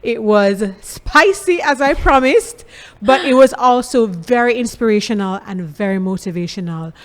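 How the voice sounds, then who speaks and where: A woman's voice: on the high side, animated, moderate pace. A woman hosts an interview show, polite and encouraging as she guides the conversation. She thanks her guest and closes the episode. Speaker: interview host.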